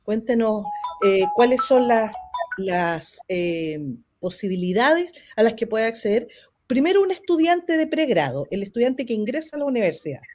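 A woman's voice: fairly high pitch, sing-song, normal speed, darkish tone, faint music behind. A woman in her thirties with a Chilean Spanish accent, politely guiding an interview over a video call. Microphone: video call webcam.

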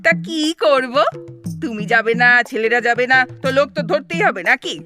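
A woman playing an exasperated, sharp-tongued elderly wife with a West Bengal accent in a comic audio drama, scolding and sarcastic. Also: a little high, animated, normal speed, soft background music. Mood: impatient, indignant. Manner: scolding, sarcastic, theatrically grumbling.

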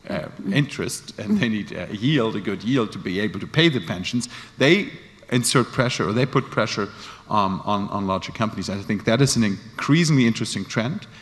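A man in his forties speaking as a panelist in a large conference hall, pausing often with fillers, into a handheld microphone. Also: lowish voice, animated. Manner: hesitant, many fillers. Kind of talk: panel discussion. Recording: long hall reverb.

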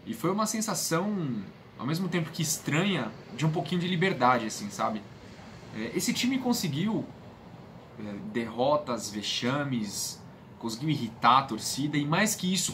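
A man's voice: a little high, a bit bright. Earnest young Brazilian man, noisy room. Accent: Brazilian Portuguese. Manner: earnest, emphatic repetition of phrases. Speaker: football fan channel host. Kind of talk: casual vlog monologue. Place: small bedroom, indoors. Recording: clear background room noise.

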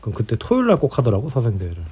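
A man's voice: mid-range voice, huge pitch swings, rapid-fire, dark tone, breathy edge. A middle-aged man chatting casually in a rambling, easygoing way, like a podcast host talking before bed. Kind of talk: casual bedtime chat between spouses. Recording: dull lo-fi home recording.

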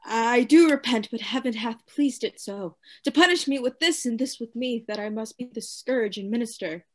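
A woman's voice: a little high, moderate pace, lightly acted. A woman playing a tormented, obsessive asylum lunatic in a radio play, with formal, theatrical diction. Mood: desperate pleading. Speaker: actor.

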